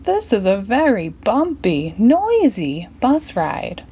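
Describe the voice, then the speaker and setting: A woman voicing a fussy, easily flustered male passenger in a storybook, exclaiming in drawn-out words. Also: on the high side, huge pitch swings, dark tone, slightly rough, exaggerated voice.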